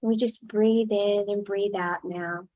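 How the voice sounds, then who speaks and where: A woman leading a guided meditation in a soothing style, speaking in short, unhurried phrases with long pauses. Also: medium pitch, steady tone, warm tone, rough recording.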